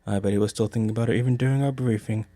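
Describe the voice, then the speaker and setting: A man's voice: fairly low pitch, fairly even intonation, racing, mellow, no room sound, lightly acted. A man playing a scheming, dismissive older priest in an anime parody dub, speaking in an officious, matter-of-fact way.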